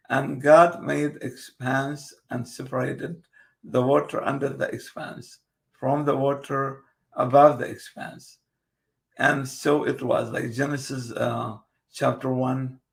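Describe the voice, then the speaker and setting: Male host, Kurdish native, gravelly, slow, hesitant. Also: medium pitch. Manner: halting, many fillers and restarts, slow and careful. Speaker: host presenting his book. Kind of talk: casual video interview.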